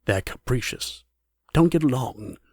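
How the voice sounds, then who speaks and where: A man's voice: medium pitch, lively intonation, measured pace, dry recording, clean studio sound. A man giving scripted narration as the narrator of a fantasy audio drama.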